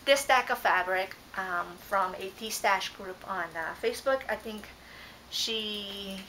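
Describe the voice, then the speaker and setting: A woman's voice: medium pitch, takes it slowly, sharp tone, slight background noise, poor audio. A young woman whose first language is Dutch, chatting casually on her couch as she shows off her craft haul, rambling with many ums and self-corrections. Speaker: cross-stitch vlogger. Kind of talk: casual show-and-tell haul. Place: living room couch, indoors. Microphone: fixed camera at close range.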